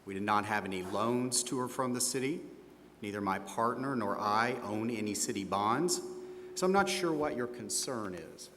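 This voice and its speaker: A man's voice: lowish voice, moderate pace. A man playing an older small-firm accountant, confident and a little defensive, answering questions in a scripted role-play. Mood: puzzled, defensive. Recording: echoey hall, steady background room noise.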